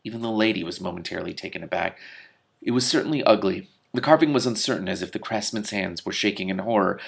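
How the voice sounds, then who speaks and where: Low, gravelly man reading aloud. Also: fast. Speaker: reader and commentator. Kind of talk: reading a fantasy novel aloud with casual commentary.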